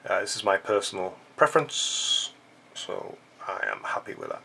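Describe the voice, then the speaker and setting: A man's voice: lowish voice, huge pitch swings, normal speed, vocal fry, pushed and bright, slightly rough, low-quality recording. A man with a stuffy, blocked-up nose, chatting casually and joking as he walks through a fly-tying tutorial. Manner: chatty, joking asides while working. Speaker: fly-tying channel host.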